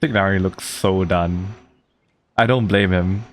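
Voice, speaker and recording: A man's voice: sits low, steady tone, unhurried. A man giving casual playthrough commentary as a video game streamer. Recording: game music behind the voice.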